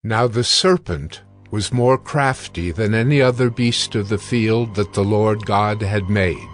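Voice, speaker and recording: A man narrating scripture in a slow, steady, deliberate reading. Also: on the low side. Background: music.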